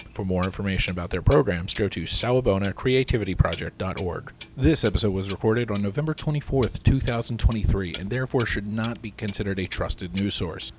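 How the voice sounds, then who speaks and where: Noisy clicky recording, male podcast producer, fairly low voice, plain informal announcing. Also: darkish tone. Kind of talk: casual aside and credits announcement.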